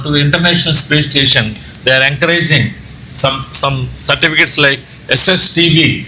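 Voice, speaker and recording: A man with an Indian Telugu accent giving an informal slide presentation, speaking haltingly with repeated words and self-corrections. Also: a little high, pushed and bright. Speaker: presenter, amateur radio operator and trainer. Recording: echoey room with background noise.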